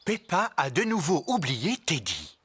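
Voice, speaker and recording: A woman's voice: sits low, huge pitch swings, unhurried. A woman reading scripted narration for a young children's cartoon. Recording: loud music under the voice.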